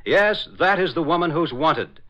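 A man's voice: fairly high pitch, expressive, moderate pace. A man working as announcer and narrator, reading a script in formal 1940s radio style. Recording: dull old radio recording.

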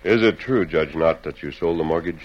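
An actor playing a resolute, commanding masked hero in an old-time radio western. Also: male voice, a bass voice, brisk. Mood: probing. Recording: muffled old radio recording.

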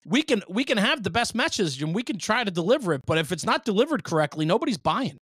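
A man's voice: high-pitched, sing-song, fast, fairly bright tone, husky, no room sound, clean studio sound. A man in a conversational podcast discussion, making his points emphatically and persuasively. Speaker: podcast speaker.

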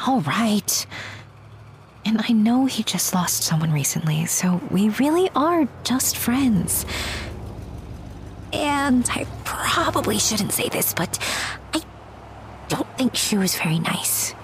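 Audio drama: impulsive, gushing young woman, high-voiced, unhurried, confiding and nosy. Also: lively intonation, slight background noise. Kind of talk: naturalistic audio drama acting.

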